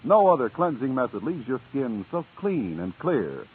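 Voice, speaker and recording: An American man announces a vintage radio commercial in an emphatic, polished sales style. His husky voice moves up and down with lively stress, through a narrow, tinny recording. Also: mid-range voice, normal speed. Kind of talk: 1940s-50s scripted radio advertisement.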